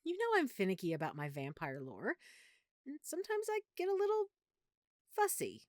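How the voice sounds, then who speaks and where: A woman playing an affectionate, nerdy, opinionated girlfriend in an intimate audio roleplay, talking in a playful, expressive, slightly fussy way. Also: sits high, normal speed, no room sound, clean studio sound. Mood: animated, opinionated. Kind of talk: audio roleplay, intimate one-sided conversation.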